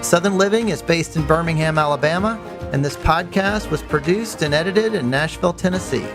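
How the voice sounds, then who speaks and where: A man hosting a podcast, asking questions politely and reading the show's credits at a steady pace. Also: a little high, a little fast, music in the background. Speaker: podcast host and magazine editor. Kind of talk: interview and scripted outro.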